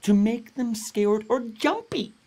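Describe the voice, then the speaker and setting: A man giving a theatrical, expressive read-aloud of a rhyming children's story. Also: very high voice, slow pace. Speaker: storybook reader.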